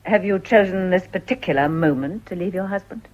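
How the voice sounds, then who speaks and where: An actress playing a shrewd, composed middle-aged mother in a period radio drama, with measured, probing upper-class English speech. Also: lowish voice, a little mellow, close to an everyday voice. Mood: shrewd, firm. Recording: dull, lo-fi radio recording.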